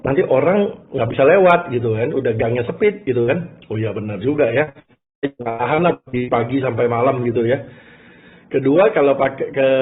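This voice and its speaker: Man presenting informally over phone-like audio. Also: medium pitch. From Malaysia. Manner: conversational, checks in with listeners using tag questions. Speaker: business presenter. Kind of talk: informal motivational talk telling a personal story. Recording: muffled phone-like call audio.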